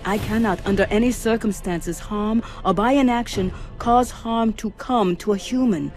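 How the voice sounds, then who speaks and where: Female ship artificial intelligence, played by a woman, sci-fi drama, dry wit, clinical, measured, mid-pitched, music behind. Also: a little mellow, close to an everyday voice. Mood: dry, matter-of-fact. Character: coolly precise, dryly witty female ship's artificial intelligence. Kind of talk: scripted sci-fi audio drama acting. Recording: music under the voice. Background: background music.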